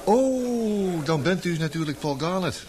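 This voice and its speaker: A man's voice: sits high, animated, measured pace. A man acting in a Dutch radio play as an affable, chatty second-in-command, with an informal and sociable manner. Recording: radio play with clear crowd murmur.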